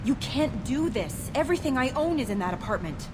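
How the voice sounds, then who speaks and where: Desperate angry lady from a game, high pitched, echoey, noisy background. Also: big pitch swings, fairly quick. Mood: indignant, desperate. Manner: pleading, combative. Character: indignant, desperate human woman locked out of home. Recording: echoey hall, clear background noise.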